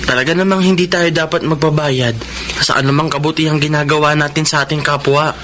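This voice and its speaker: An actor playing an honest, humble young waiter in a Filipino radio drama, speaking respectfully and modestly. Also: male voice, fairly high pitch, fairly even intonation, fairly quick, sharp tone. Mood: surprised, modest. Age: young adult. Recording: noisy recording with background ambience.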